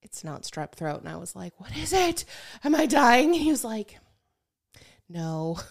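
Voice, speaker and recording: A woman co-hosting a podcast in casual chat, playful and telling stories at an unhurried pace. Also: fairly high pitch, sing-song, creaky. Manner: playful, drawn-out storytelling. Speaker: podcast co-host.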